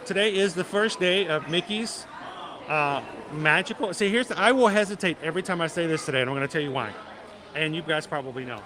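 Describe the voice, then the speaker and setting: A man with a slightly raised voice hosts a relaxed livestream, joking with his viewers and often tripping over and repeating words. He is outdoors in a busy theme park on a handheld mic. Crowds chatter clearly all around him. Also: a little high, brisk. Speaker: livestream host. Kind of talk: casual live-stream chat with viewers.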